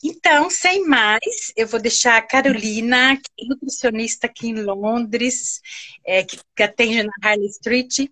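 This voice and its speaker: A woman's voice: fairly high pitch, a little slow, bright, forceful tone. A woman with a Brazilian accent, hosting a live online talk in a conversational style. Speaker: host and committee co-leader.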